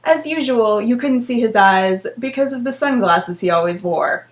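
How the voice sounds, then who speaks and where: A woman's voice: fairly high pitch, animated, normal speed. A young woman vlogging in a casual chat and reading out her old writing, wry and self-deprecating.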